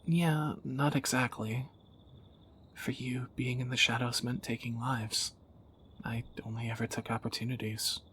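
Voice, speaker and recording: A man's voice: medium pitch, level tone, a little slow, a bit bright, slight background noise, natural voice. A man playing a tender, wry young thief in a romantic audio roleplay, teasing and intimate, often dropping toward a whisper. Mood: wry, musing. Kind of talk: romantic audio roleplay, one side of a dialogue.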